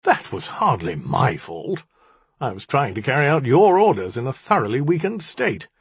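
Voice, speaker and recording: A man's voice: medium pitch, big pitch swings, normal speed, breathy edge, no room sound, heavily acted. A man voicing a sardonic, defiant jinni in the form of a lioness, with sarcastic, colloquial dialogue in an audiobook. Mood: indignant. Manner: sarcastic, colloquial, self-justifying.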